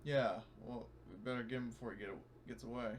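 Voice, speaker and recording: A man's voice: medium pitch, steady tone, fast talker. A sweary man talking casually as a player in a tabletop roleplaying game. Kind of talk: casual chat. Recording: faint background noise.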